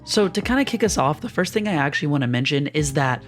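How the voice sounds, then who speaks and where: A young man with a slightly hoarse, rough voice from a sore throat, casually walking viewers through board game choices in a chatty, explanatory way. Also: a little high, lively intonation, quick pace, faint music behind. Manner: chatty, explanatory, uses lots of fillers. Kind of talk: casual screen-share walkthrough.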